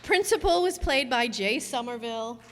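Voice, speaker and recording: A woman's voice: on the high side, expressive, normal speed. Woman director, casual curtain call, roomy hall with audience noise. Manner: chatty, playful. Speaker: troupe director thanking cast. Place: stage before a live audience. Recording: noisy live hall with audience. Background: audience murmur.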